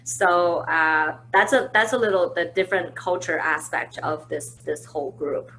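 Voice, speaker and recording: A woman's voice: on the low side, moderate pace, sharp tone, poor audio. A woman hosting a conversational business webinar from home, easygoing and full of fillers and restarts. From China. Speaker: webinar host, marketing manager. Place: at home, indoors.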